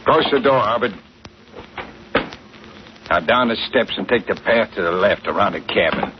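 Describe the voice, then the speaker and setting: Gunman heavy, 1940s radio thriller male actor: deep-ish, gruff, bossy and sneering; dull vintage sound. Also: expressive, moderate pace, lightly acted. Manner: tough, taunting, folksy slangy talk. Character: gruff bullying gunman henchman, mocking and menacing. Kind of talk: 1940s radio adventure acting. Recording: muffled, noisy vintage radio recording.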